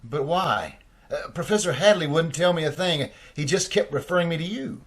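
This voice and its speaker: A man's voice: a little high, moderate pace. A man playing an alarmed, sputtering surgeon in an old-time radio drama. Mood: bewildered. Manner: excitable, sputtering, incredulous. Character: alarmed, indignant male surgeon cousin under pressure.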